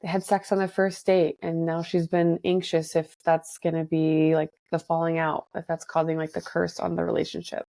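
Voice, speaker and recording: A woman therapist in her thirties speaks in a mellow, slightly low voice. She is reflective and careful, weighing her points as she goes. The recording is dry. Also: little pitch movement, normal speed, vocal fry, hardly any pauses, no room sound. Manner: thoughtful, reflective, hedging. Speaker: therapist guest. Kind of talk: casual podcast conversation.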